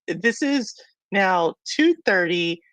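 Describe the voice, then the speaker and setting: A woman host chatting on a live stream, her pitch swinging up and down in lively jumps. She talks to the viewers, laughs often, and her voice sounds dry and close to the mic. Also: slow, no room sound. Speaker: podcast host. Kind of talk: casual live-stream chat.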